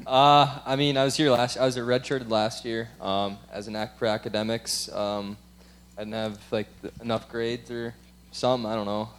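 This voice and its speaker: A man's voice: medium pitch, flat delivery, moderate pace, slight background noise. A man in his twenties from Wisconsin, a college athlete giving casual interview answers on stage. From Wisconsin, United States. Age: 20s. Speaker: college hockey player. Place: on stage at a live event.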